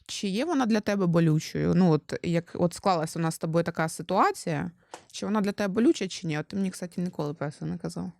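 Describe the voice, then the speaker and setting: A woman's voice: on the low side, fast talker, creaky, a little mellow. A young Ukrainian woman hosting a casual podcast, chatty and teasing, often stumbling over her words as she questions her husband. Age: young adult. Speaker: podcast host.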